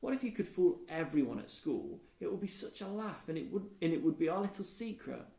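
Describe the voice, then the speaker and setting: A man voicing an upbeat, persuasive, kind and mischievous schoolgirl in a storybook, in a bright, animated manner. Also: fairly high pitch, fairly quick, vocal fry, voice pushed up. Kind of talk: storybook character dialogue. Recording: echoey room.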